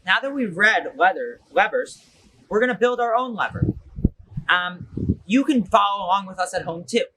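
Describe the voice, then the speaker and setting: A young man hosts a children's science activity with casual, informal instructions. His voice is notably high for a man and has a bright, sharp tone. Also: animated, moderate pace, poor audio.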